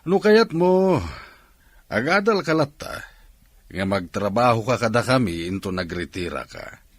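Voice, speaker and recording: An actor with an Ilocano accent playing a confident middle-aged city factory boss in a radio drama, assured and persuasive. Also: male voice, mid-range voice, sing-song, a little slow, no room sound, clean studio sound. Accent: Filipino, Ilocano.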